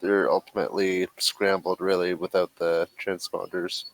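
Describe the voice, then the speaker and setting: Low-voiced man running a tabletop game. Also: level tone, normal speed, gravelly, rough recording. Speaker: game master. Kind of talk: improvised narration of a tabletop role-playing game.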